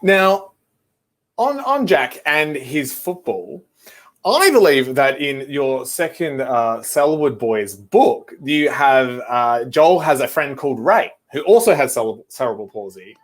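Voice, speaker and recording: A man's voice: mid-range voice, expressive, slow pace. A man with an Australian accent hosting a children's show, chatting casually with a guest. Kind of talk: casual interview chat.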